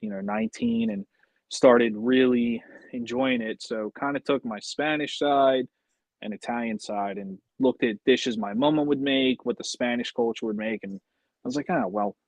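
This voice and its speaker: A man's voice: medium pitch, gravelly, no echo, muffled recording. A man, a podcast guest, telling personal stories in a casual conversational way.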